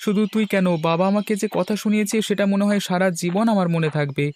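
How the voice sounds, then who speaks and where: Guy putting on touchy village lad, pitched up high, quick and insistent, cartoon style. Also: darkish tone, a little hiss or hum, close to an everyday voice. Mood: irritated. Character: high-voiced, touchy young village friend, mischievous. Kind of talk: cartoon story dialogue.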